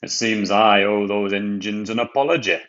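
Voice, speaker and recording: A man's voice: lowish voice, normal speed, a bit bright, lo-fi sound. Man playing a firm railway boss. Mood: stern realisation. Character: male railway controller, authoritative boss of the engines.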